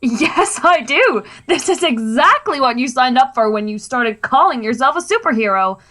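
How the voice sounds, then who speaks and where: A woman playing a superhero's sharp-tongued, exasperated wife in a radio play, curt and fed up. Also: high-pitched, expressive, normal speed.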